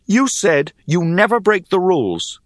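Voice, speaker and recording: An earnest young hero from a fantasy storybook, voiced by a man, speaks at a raised pitch with lively intonation. He is determined and indignant, pressing his case like a boy on a quest. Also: measured pace, dry recording, clean studio sound. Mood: bitter, indignant. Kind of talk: audiobook character acting.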